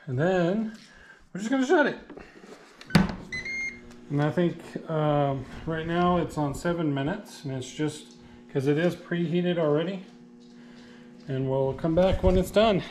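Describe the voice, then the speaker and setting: A man's voice: a little high, noisy background. Chatty man vlogging his meal prep. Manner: chatty, joking, self-deprecating, lots of fillers. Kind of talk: casual cooking vlog, talking to camera. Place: home kitchen, indoors.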